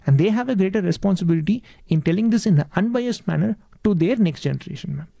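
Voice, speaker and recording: Indian guy, tamil speaker, high gravelly voice, earnest, keeps saying yes ma'am. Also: animated, quick pace, mellow, no echo, studio quality. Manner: earnest, repeats words, addresses host as ma'am. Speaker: guest. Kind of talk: interview answers.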